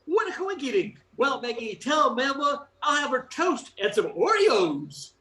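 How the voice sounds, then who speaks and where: Woman playing Bozo, a goofy, animated clown. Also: high-pitched, unhurried, roomy sound, heavily acted. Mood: cheerful. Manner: jokey, chummy. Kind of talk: amateur radio comedy play reading.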